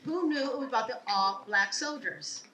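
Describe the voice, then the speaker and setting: Bright-voiced woman giving a speech. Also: a little high, animated, far from the mic. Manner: earnest, measured delivery of a family history. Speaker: ceremony speaker. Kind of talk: prepared speech at a ceremony. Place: outdoor ceremony.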